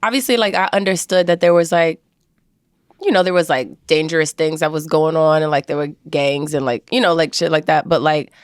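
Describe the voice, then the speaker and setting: A young woman from the Dominican Republic, a singer chatting as a podcast guest in a relaxed, talkative way. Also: low voice, a little fast, dry recording, studio quality. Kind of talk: casual interview chat.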